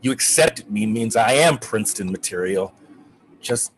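An actor playing an anxious, embittered college student of about twenty in a play reading, earnest and colloquial. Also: male voice, fairly low pitch, animated, normal speed, a little hiss or hum, natural voice. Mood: bitter, indignant.

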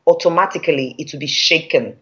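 A woman's voice: low voice, fairly quick, fairly bright tone. Nigerian woman trainer, emphatic, repeats points for weight, slightly muffled call audio. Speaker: public speaking trainer. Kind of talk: masterclass teaching.

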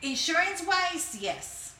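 A woman's voice: extremely high pitch, very animated, a little slow, echoey room, a crowd in the background. A woman co-hosting a podcast, arguing her point, interrupting and swearing. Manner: argumentative, interrupts, profane. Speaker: podcast co-host. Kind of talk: casual comedic banter.

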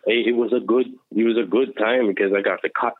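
A man, a social worker, talking about himself in a casual chat with a deep voice, earnest and self-assured, full of "like" and "you know". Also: fairly even intonation, quick pace, no echo. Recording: tinny phone-like line, dry.

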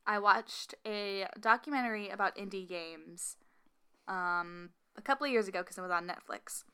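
A young woman co-hosting a casual podcast, chatty and animated with frequent fillers and laughs. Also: medium pitch, normal speed, clear, resonant tone. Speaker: podcast co-host. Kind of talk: casual chat.

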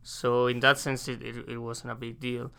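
A young man from Spain with a Spanish accent, an exchange student answering interview questions casually and haltingly, pausing to search for English words. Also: medium pitch, completely flat delivery, creaky, pushed and bright, clear, resonant tone. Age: young adult.

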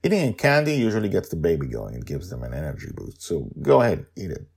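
A man voicing a brisk, practical woman in a storytelling podcast, reassuring and excitable, with an emphatic, eager manner. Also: low voice, gravelly, husky, full character voice.

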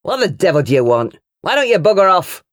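A woman voicing an angry, bullying middle-aged farm uncle in a storybook, booming and coarse. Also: big pitch swings, a little fast, dry recording, studio quality. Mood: sneering, angry. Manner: coarse, shouting and sneering.

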